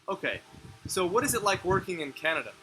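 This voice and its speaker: A man's voice: sits high, sing-song, fast, pushed and bright, a little hiss or hum, rough recording. A man hosting an interview, asking short questions and giving brief acknowledgements. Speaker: interviewer, channel host.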